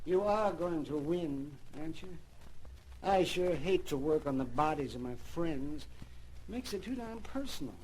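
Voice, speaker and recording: A man's voice: fairly high pitch, expressive, unhurried, a little mellow. A man playing a folksy, garrulous frontier doctor with a gleefully morbid streak in an old-time radio western. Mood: wry, concerned. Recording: noisy old radio drama recording.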